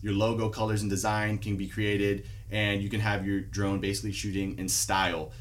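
A man's voice: on the low side, monotone, normal speed. A man hosting a podcast, reading a sponsor spot and then interviewing a guest in an upbeat, enthusiastic way. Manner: upbeat, enthusiastic, short backchannels. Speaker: podcast host.

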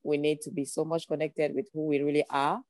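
A woman's voice: low-pitched, little pitch movement, a little fast, a little mellow, dry recording, studio quality. Cameroonian-accented woman, earnest and reflective. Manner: earnest, reflective, builds ideas in repeated phrases. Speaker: guest speaker. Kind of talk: podcast interview.

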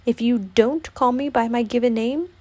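A gentle, naive bear from a children's storybook, read by a woman, speaking a little high. He is easygoing and polite, choosing simple words with mild earnestness. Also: moderate pace, close to an everyday voice. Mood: politely determined.

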